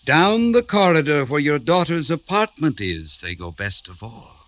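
An actor playing a sly, fearful serf informer in a 1950s radio drama, servile and pleading. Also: male voice, fairly high pitch, very animated, moderate pace, a bit bright, no room sound. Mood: sly, insinuating. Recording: vintage radio recording.

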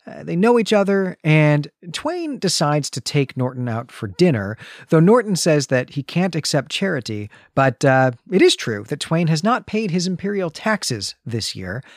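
Guy podcast host explaining a story, really lively pitch, dry recording. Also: no echo. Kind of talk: recapping and discussing a comic story.

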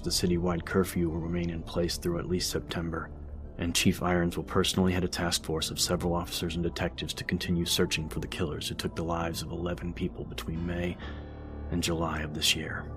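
Low voiced guy narrating news articles for an audiobook, pretty monotone, music playing under him. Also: a little fast. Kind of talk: scripted narration of newspaper reports.

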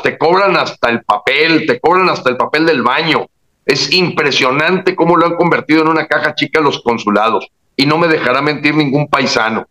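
A man's voice: on the high side, sharp tone, poor audio. A man from Mexico, a guest giving indignant political commentary in casual chat, often restarting his phrases. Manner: emphatic, indignant, with frequent restarts.

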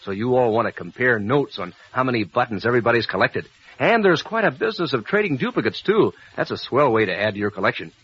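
An animated American man pitches like a vintage radio announcer to kids. His voice is forceful and a little husky, folksy and eager, on dull old audio. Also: quick pace. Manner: punchy, folksy, enthusiastic salesman delivery. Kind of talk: 1940s radio advertising for children.

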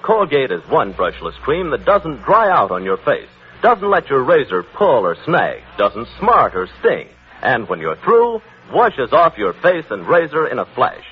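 A man's voice: on the high side, very animated, unhurried, breathy edge. An American man announcing in the polished selling style of a 1940s radio commercial. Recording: muffled vintage 1940s radio broadcast.

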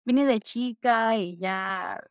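A young woman from Peru telling stories about her childhood in a relaxed interview, slipping in English phrases. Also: a little high, slow pace, clear, resonant tone, dry recording, studio quality. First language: Spanish. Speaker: guest. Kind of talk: conversational interview.

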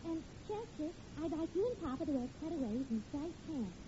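High-pitched gushing young bride-to-be. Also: female voice, sing-song, brisk, music in the background. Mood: bubbly. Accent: American. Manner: coy, cooing, insistent. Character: eager, gushing young bride-to-be, coy and doting. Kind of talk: 1940s radio comedy acting. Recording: muffled, noisy old radio recording.

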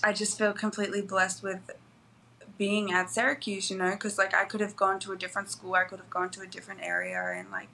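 Australian woman in her twenties, college athlete guest, bright tone, soft and hesitant delivery. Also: mid-range voice, poor audio. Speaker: college basketball player, guest. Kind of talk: interview answers.

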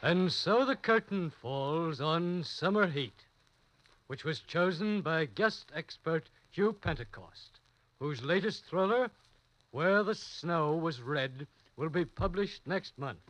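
A man hosting a radio mystery show, giving a measured, formal closing. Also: a little high, expressive, fairly bright tone, no room sound, rough recording. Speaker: host.

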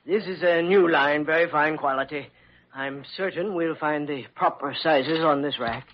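A fussy, obliging male shop clerk, acted by a man in a vintage radio comedy-drama. His formal voice sits fairly high, and the recording is muffled and old. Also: moderate pace, close to an everyday voice. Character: prim, deferential male clothing salesman. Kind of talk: old-time American radio drama acting.

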